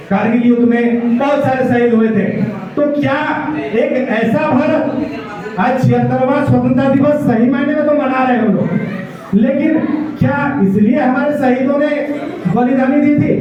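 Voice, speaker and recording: A man giving an impassioned public speech, with rhetorical questions and emphatic repetition. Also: very high voice. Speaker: speech-giver at an Independence Day event. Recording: echoey far-off mic, dull sound.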